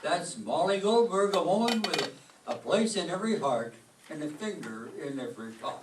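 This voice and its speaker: Male announcer for staged radio plays, higher voice, heard from afar through room echo. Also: very animated, normal speed. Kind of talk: scripted old-time radio announcing. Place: large room or stage before a live audience. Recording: echoey distant stage recording, clear noise.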